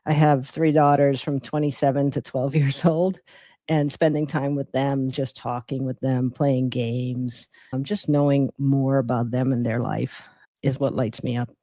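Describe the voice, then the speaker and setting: A woman's voice: very deep voice, level tone, measured pace, dark tone, no room sound. Thoughtful middle-aged woman with dry, close sound. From born in Guyana, raised in the Bronx from age seven. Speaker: interview guest, emergency physician. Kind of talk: reflective personal storytelling in an interview.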